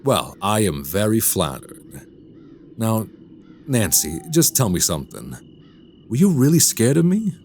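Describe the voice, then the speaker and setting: An actor in a radio drama playing a sinister, condescending man who is secretly a werewolf, speaking softly and slowly with menace. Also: lowish voice, sing-song, a little hiss or hum. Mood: smooth, creepy flattery. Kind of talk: immersive radio drama acting.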